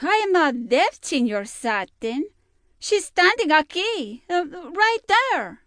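A woman playing a spirited, sharp-tongued cook and housekeeper with a Spanish accent in an audio drama. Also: very high voice, big pitch swings, slow, no echo, studio quality, heavily acted. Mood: brisk, friendly. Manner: teasing, feisty, mixes Spanish words into speech. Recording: music behind the voice.

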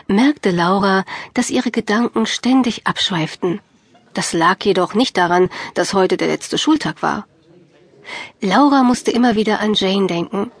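A woman narrating a scripted children's audiobook in a measured, clearly phrased storytelling manner. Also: mid-range voice.

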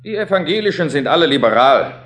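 A blunt, prejudiced churchman in a radio play. He declaims dismissively in a raised pitch with very lively swings. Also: quick pace.

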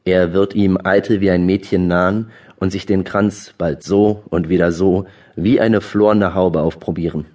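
An actor playing a brisk, mocking courtier and officer in a classical verse drama, speaking in elevated, declamatory stage diction. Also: male voice, low-pitched, fairly even intonation, darkish tone, recorded from a distance. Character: brisk mocking male courtier and officer reporting to his lord. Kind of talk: classical German verse drama audiobook acting.

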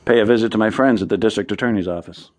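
Guy playing a shrewd american lawyer in a radio drama, fast talker, lowish voice, sure of himself. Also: lightly acted. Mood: probing, composed. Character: shrewd, confident male criminal defense attorney.

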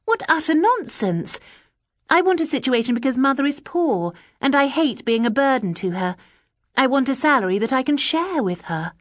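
A woman voicing a lively, proud young woman of modest means, speaking eagerly and with spirit. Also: sits high, normal speed, dry recording, clean studio sound, pitched above the performer's own voice.

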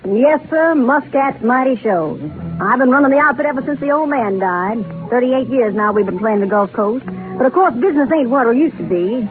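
A wistful old Southern carnival boss played by an actress, spinning her yarn in a folksy, rambling way. Her voice sits fairly high over prominent music on a tinny old radio broadcast. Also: lightly acted. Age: older. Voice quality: folksy, rural speech. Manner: rambling, confiding storyteller. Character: folksy aging Southern carnival show owner, wistful, garrulous woman. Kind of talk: 1930s radio drama narration.

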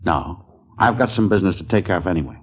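A man's voice: low-pitched, lively intonation, quick pace, breathy edge. An actor playing a terse, stocky male business manager who speaks in clipped replies, in 1940s radio style. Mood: curt. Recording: dull, noisy old radio recording.

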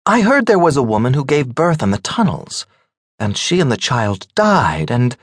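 Audiobook role: shy young wife, voiced by a man, raised pitch, soft and hesitant delivery. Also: sing-song, normal speed, no echo, studio quality. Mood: timid. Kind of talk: audiobook character dialogue.

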